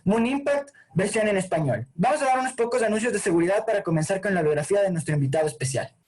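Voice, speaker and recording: A man's voice: sits high, lively intonation, quick pace, a little mellow, low-quality recording. A young man from Ecuador with an Ecuadorian accent, hosting an online session and reading a formal script over a video call. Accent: Ecuadorian Spanish. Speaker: host.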